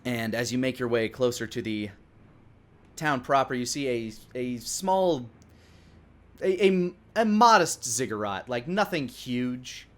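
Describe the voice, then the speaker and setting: A man narrating a tabletop game as dungeon master, improvising and pausing often to search for words. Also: medium pitch, lively intonation, fairly bright tone.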